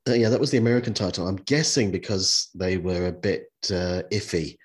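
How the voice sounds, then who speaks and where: A man's voice: low voice, brisk, husky. A man hosting a podcast, nasal and stuffed up with a head cold, talking casually with many fillers and restarts. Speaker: podcast host.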